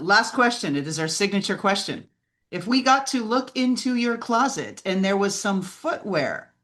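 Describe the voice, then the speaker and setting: A woman's voice: medium pitch, a bit bright. A woman hosting a podcast, asking questions in a conversational interview style. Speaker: podcast host.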